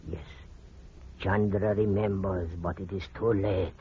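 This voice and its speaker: An actor playing a dying mystic and spiritualist, solemn and halting, in a radio horror play. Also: male voice, deep voice, steady tone, dark tone, breathy edge, full character voice. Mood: solemn, fading. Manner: solemn, halting, speaks of himself by name. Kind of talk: old-time radio horror acting. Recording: muffled, hissy old radio recording.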